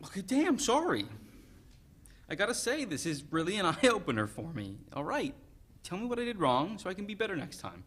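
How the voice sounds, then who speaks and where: A man's voice: sits high, wildly swooping pitch, brisk, fairly bright tone, husky, heavily acted. A man playing a clueless, flippant teenage boyfriend who stammers and jokes in a dramatic reading of a play. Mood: defensive. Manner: stammering, joking.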